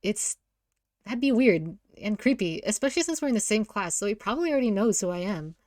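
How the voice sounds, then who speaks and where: A woman playing a reluctant, flustered schoolgirl heroine, deadpan and protesting, in an amateur story reading. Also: mid-range voice, brisk, dry recording, clean studio sound, natural voice. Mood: insistent.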